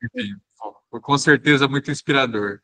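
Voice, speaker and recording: A man's voice: mid-range voice, sing-song, moderate pace, gravelly, pushed and bright. A man from Brazil teaching an online class, talking casually with many hesitations and restarts. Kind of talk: casual spoken class discussion.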